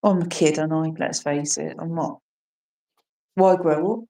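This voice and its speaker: A woman in her forties chatting casually, her voice sitting a touch low for a woman and a little away from the microphone. She rambles excitably from one thought to the next. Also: unhurried, distant mic. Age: 40s. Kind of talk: casual chat.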